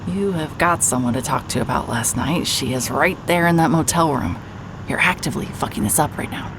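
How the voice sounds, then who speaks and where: A woman's voice: deep voice, a little fast, background noise. An actress playing a weary, wry, guarded woman investigator in an audio drama, speaking in a hesitant, dry way. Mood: firm, urging.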